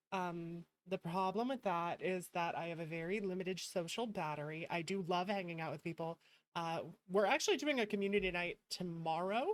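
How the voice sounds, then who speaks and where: Female streamer, unhurried and slightly low, affectionate casual chat over faint music. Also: a bit bright. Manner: effusive, affectionate, thankful to viewers. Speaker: streamer hosting a live stream. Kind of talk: casual livestream chat to viewers.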